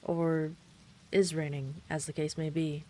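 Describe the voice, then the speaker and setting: A woman playing a gentle, caring dragon friend in an intimate roleplay audio drama, speaking in a reassuring, considerate, slightly hesitant way. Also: low voice, level tone, unhurried, a little hiss or hum. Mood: mildly concerned.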